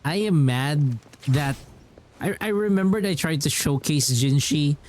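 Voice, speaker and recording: A man's voice: a little high, unhurried, a little mellow. A man streaming a video game, giving casual, informal commentary on his play. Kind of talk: casual live gameplay commentary. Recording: faint game music behind. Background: game music.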